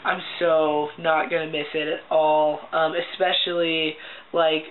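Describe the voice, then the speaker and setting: A man's voice: a little high, little pitch movement, unhurried. A young man with a cracking voice, talking casually and upbeat in a video diary, full of ums and likes. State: voice cracking often. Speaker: vlogger. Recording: tinny lo-fi audio with faint hiss.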